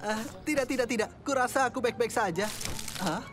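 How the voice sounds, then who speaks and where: An actor voicing a wary, sarcastic boy sidekick in an animated comedy, given to dry complaints. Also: mid-range voice, brisk, natural voice. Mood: grumbling. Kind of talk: animated comedy cartoon dubbing. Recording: loud music behind the voice.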